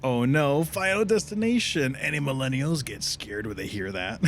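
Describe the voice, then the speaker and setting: A man's voice: medium pitch, lively intonation, normal speed, faint music behind. A man livestreaming a game with casual, slangy reactions and some swearing. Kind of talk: casual gaming reaction commentary. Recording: faint game sound and noise behind.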